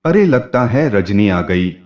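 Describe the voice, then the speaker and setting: A caring, inquisitive neighbour woman , read by a man in a storybook family drama. The delivery is emphatic, with big swings in pitch, on dull lo-fi audio. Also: sing-song, slow, a little mellow. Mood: suspicious.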